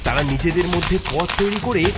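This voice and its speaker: A man's voice: a little high, brisk, very noisy. A man narrating a scripted cartoon story in a dramatic style. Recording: loud music mixed under the narration.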